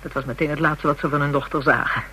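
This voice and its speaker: A woman's voice: low voice, steady tone, fast, sharp tone, a little hiss or hum. Bitter, hard-bitten young call girl. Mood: bitter, defiant. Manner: coarse, slangy, defiant. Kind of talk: radio drama acting.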